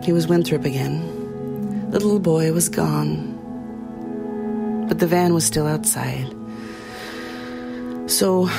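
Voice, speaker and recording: A woman playing a haunted, compassionate small-town woman, telling her horror story in a somber, measured audio drama narration with hesitant pauses. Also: fairly low pitch. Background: music under the voice.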